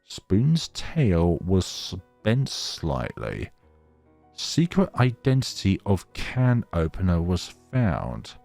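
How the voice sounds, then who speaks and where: Low-voiced man, lively visual novel narration. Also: drawn-out words, gravelly, soft background music. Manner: expressive story reading, slips into commentary. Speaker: let's play narrator. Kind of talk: reading visual novel aloud with casual asides.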